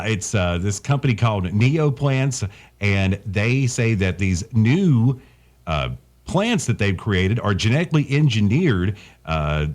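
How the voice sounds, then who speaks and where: A man presenting casually on country music radio in a conversational, animated way. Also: on the low side. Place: radio studio.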